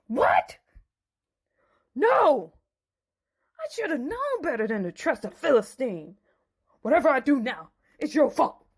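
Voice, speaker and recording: A woman's voice: sits high, big pitch swings, takes it slowly, long pauses, voice pushed up, heavily acted. A woman voicing a mighty, wrathful male hero in a dramatic, indignant Bible storybook dramatization.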